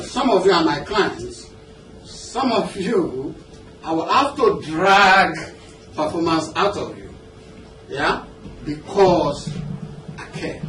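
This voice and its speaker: Nigerian guy doing a motivational business seminar, highish voice, picked up from far off in a roomy space. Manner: conversational, engaging the audience with questions and check-ins. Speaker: business coach and seminar speaker. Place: indoor seminar room with an audience. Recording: roomy, noisy seminar hall, distant mic.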